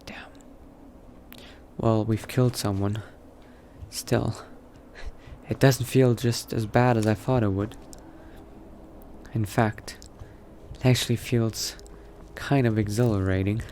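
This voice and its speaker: Man playing a hushed, gravelly, cautious vampire. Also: on the low side, fairly even intonation, slow pace, pauses often. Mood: quietly exhilarated. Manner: hushed muttering, often dropping to a whisper. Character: newly turned male vampire, cautious, coldly pragmatic. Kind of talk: in-character roleplay narration, thinking aloud. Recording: noticeable background noise.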